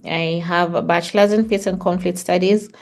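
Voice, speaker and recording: Lowish-voiced Kenyan woman telling her story. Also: steady tone, moderate pace. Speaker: interview guest. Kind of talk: reflective personal storytelling in conversation.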